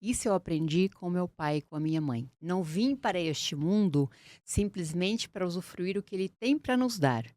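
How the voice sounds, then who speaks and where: Earnest woman, lowish voice. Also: expressive, a little mellow, dry recording. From Portugal. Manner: earnest, deliberate, reflective. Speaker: guest, criminal lawyer. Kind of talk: interview conversation.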